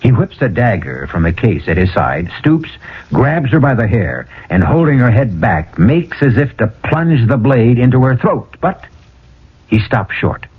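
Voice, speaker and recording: A man's voice: mid-range voice, very animated, measured pace, husky. A man hosting and narrating a scripted radio mystery drama in a theatrical, suspenseful way. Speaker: host and narrator. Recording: tinny old radio broadcast, music behind. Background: music.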